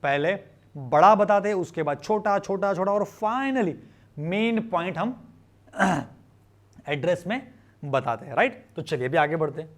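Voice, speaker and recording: A male teacher with an Indian accent and a notably high voice explains a lesson to students. He is informal and conversational, checking in with questions, repeating phrases and slipping in bits of English. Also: expressive, a little slow. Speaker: chemistry teacher.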